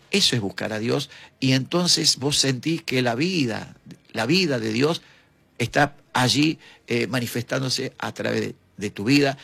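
Husky, gravelly man preaching informally. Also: medium pitch, a bit bright, no room sound. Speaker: preacher and host introducing speakers. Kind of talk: informal devotional sermon.

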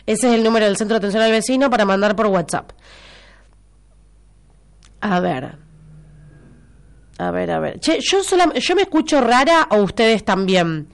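A woman's voice: medium pitch, animated, normal speed, vocal fry, long pauses. A woman with an Argentine accent hosting a local radio show, chatty and teasing, with a stuffy nose from a cold. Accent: Rioplatense Argentine Spanish. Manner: chatty, teasing, repeats information for listeners. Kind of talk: casual live radio chat with listener messages.

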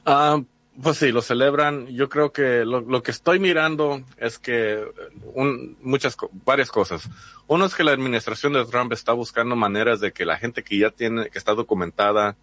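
A man's voice: medium pitch, moderate pace, a bit bright, dry recording. A man from the United States with a Mexican accent, a reporter answering interview questions hesitantly, with many fillers and restarts.

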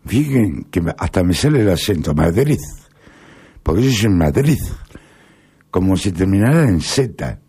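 A middle-aged Argentine man speaking in a rambling, halting monologue with sudden emphatic rises. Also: sits low, very animated, mellow, breathy edge. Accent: Argentine Spanish. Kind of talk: casual spoken monologue.

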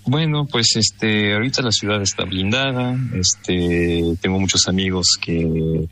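A man's voice: low voice, unhurried, a bit bright. A Mexican man interviewed by phone, answering thoughtfully with many fillers. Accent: Mexican Spanish. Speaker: interview guest.

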